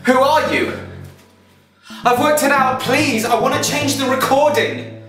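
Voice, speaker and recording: A man's voice: extremely high pitch, unhurried. An actor playing a confused, anguished man held captive with no memory, hesitant and pleading, in a one-act drama. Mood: desperate, pleading. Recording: very echoey, distant, loud music behind.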